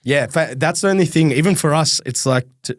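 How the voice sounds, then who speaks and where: A man's voice: fairly high pitch, fairly quick, dry recording, studio quality. An Australian man hosting a podcast, chatting casually as he interviews a guest. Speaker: podcast host.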